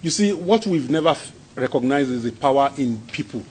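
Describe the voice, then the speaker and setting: A man with a Yoruba-accented Nigerian English voice, a traditional king speaking informally to an audience in a hall, good-humoured and quick to chuckle as he repeats his phrases. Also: medium pitch, expressive, darkish tone, slight background noise. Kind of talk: informal speech and Q&A answers.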